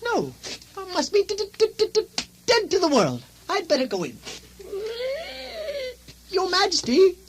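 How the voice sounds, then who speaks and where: A woman playing a panicky, excitable nobleman with a heavy stutter in a comic radio play. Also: extremely high pitch, crowd noise, exaggerated voice. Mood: tentative. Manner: hysterical, overwrought, halting. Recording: faint noise on old radio recording.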